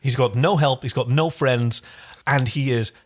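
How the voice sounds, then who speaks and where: A man co-hosting a casual TV episode recap, talking in an animated, emphatic, conversational way. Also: medium pitch, moderate pace. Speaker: podcast co-host.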